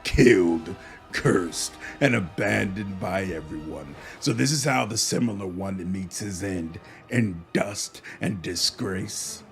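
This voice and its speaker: An actor playing an ancient, arrogant locust demon lord in a video game, rasping and speaking slowly and grandly. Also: male voice, low-pitched, lively intonation, soft background music, exaggerated voice. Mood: contemptuous, grandiose. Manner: slow, grandiose, speaks of himself in third person. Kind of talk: video game villain acting.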